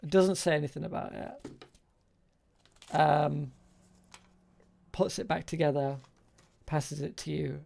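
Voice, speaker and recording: A man narrating an improvised tabletop game as game master, halting and pausing between short phrases. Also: fairly high pitch, steady tone, vocal fry, a little mellow.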